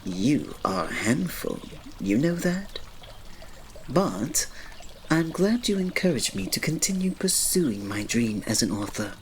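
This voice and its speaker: A man plays a devoted male servant to royalty in an ASMR drama. He speaks slowly and politely, with a mid-pitched voice that moves expressively while staying formal and restrained. Noticeable background noise like trickling water sits behind him. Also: big pitch swings. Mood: dryly teasing. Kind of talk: ASMR roleplay, scripted drama.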